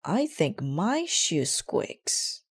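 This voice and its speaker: A woman voicing an earnest, bumbling, excitable male dinosaur detective from a storybook, with emphatic delivery. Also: on the low side, extremely slow, husky, exaggerated voice. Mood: earnest, puzzled. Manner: emphatic, exclamatory.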